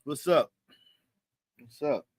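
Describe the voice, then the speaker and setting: A man's voice: takes it slowly, no echo. Brief: man, fifties, live-stream host, casual and confident, dry close sound. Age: 50s. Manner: loose, slangy, confident and talkative.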